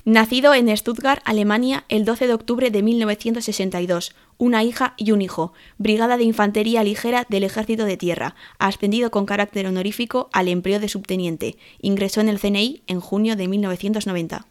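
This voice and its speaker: Female reader, formal memorial read-out, steady and even. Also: medium pitch, a little fast, hardly any pauses. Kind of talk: scripted reading.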